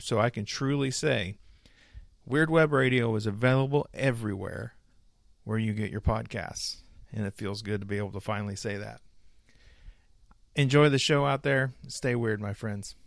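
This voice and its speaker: A man from the United States hosting a casual podcast interview, upbeat and chatty, quick to laugh. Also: mid-range voice, gravelly, dry recording, studio quality. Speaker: podcast host.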